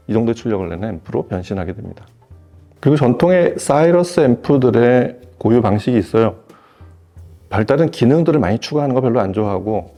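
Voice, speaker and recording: A man giving a semi-formal, explanatory product review in a measured way, rephrasing as he goes. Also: medium pitch, dark tone. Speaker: audio equipment reviewer.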